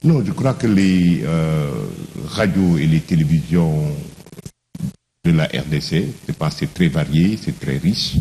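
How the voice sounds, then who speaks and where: A man with a very low, mellow voice answers a TV interviewer calmly. He talks thoughtfully and pauses on fillers. His tone stays even and composed. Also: slight background noise. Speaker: journalist, studio guest.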